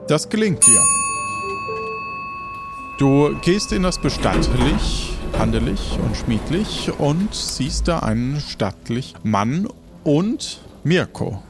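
A man's voice: on the high side, sing-song, slow, loud music behind the voice. A man narrating an improvised audio adventure as its game master.

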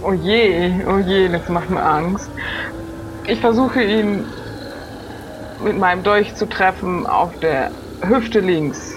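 A woman's voice: fairly low pitch, slow pace, background noise. A woman improvising in character as a player in a tabletop role-playing game. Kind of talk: improvised in-character chat and play.

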